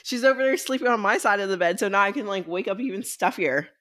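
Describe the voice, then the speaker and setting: A woman's voice: mid-range voice, quick pace, bright, forceful tone. A woman co-hosting a podcast, chatting casually in a playful, jokey way. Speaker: podcast co-host. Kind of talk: casual chat.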